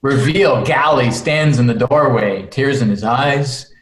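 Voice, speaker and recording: A man's voice: medium pitch, measured pace. A man narrating screenplay stage directions at an online table read, reading expressively with occasional stumbles and asides. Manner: expressive, stumbles and breaks to comment. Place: remote video call from a room. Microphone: video call microphone. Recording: roomy, echoey video call audio.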